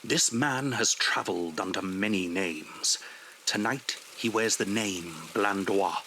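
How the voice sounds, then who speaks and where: A man's voice: lowish voice, takes it slowly, bright, forceful tone, a little hiss or hum, rough recording. A man reading dramatic scripted narration as the narrator of an audio drama.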